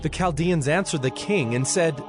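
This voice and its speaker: A man narrating scripture from a script in a measured, solemn manner. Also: fairly high pitch, music in the background. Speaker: Bible narrator.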